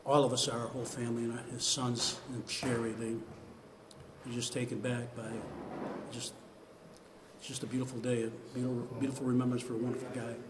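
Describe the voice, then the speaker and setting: A man's voice: mid-range voice, flat delivery, fairly quick. A man in his sixties with an American accent, speaking reflectively in an interview in a large room. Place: large indoor hall with chairs. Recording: background noise, room ambience.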